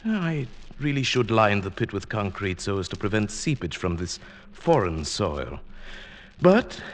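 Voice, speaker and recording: An actor playing a meek, henpecked older botany professor in an old-time radio drama, speaking mildly and hesitantly. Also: male voice, lowish voice, big pitch swings, unhurried. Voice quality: mild, hesitant.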